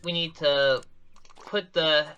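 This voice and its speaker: A man's voice: a little high, steady tone, takes it slowly, sharp tone, clean tone, muffled recording, soft background music. A man giving live commentary on a speedrun, explaining each step and often correcting himself mid-sentence. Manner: explanatory, frequent self-corrections and restarts.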